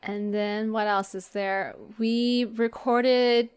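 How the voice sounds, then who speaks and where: A woman with a smooth, clear voice on the higher side hosts a casual podcast chat. She takes her time and comes across as easygoing. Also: fairly even intonation, slow pace. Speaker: podcast host.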